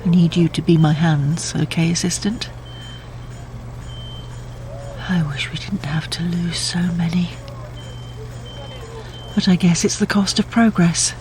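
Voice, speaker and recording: An actor in a sci-fi audio drama playing an ailing, reverent leader who speaks slowly and grandly. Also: medium pitch, steady tone, darkish tone, a little hiss or hum, natural voice. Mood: weary, resigned.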